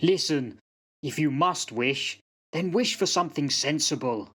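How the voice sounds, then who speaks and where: A man's voice: on the high side, expressive, unhurried, a bit bright, husky, heavily acted. A man acting in a radio play as a grave old soldier who gives solemn warnings. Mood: urgent warning. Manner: solemn, foreboding.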